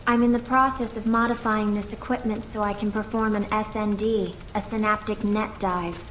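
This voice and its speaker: A woman's voice: medium pitch, fairly even intonation, lightly acted. Woman voicing an earnest young scientist, noisy background. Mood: explaining, serious. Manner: measured, precise, explanatory. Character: earnest young female scientist, reserved and technical. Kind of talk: video game voice acting.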